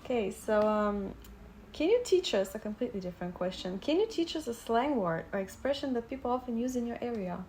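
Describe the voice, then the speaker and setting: Animated Bulgarian woman hosting in English. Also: a little high, a little fast, slight background noise. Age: young adult. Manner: animated, laughs often, searches for words. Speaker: podcast host. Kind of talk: casual interview chat.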